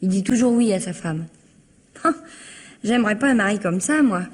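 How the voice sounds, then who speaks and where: A woman acts out a young working-class housemaid in a vintage radio mystery. Her voice is husky and slightly rough, at an ordinary female pitch. She talks in a colloquial, naively frank way, cheeky and quick to defend herself. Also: animated, a little mellow, natural voice. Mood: frank, gossipy. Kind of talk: old French radio mystery acting.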